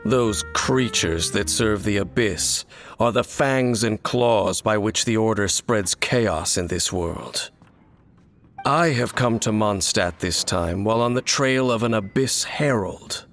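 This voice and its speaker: Brooding young wanderer for a fantasy game: low, very unhurried, solemn and cryptic, music underneath. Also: male voice, a bit bright. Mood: grave. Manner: measured, solemn, cryptic phrasing. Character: brooding, world-weary young male wanderer hunting the Abyss. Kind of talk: dramatic video game dialogue. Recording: studio voice over game music.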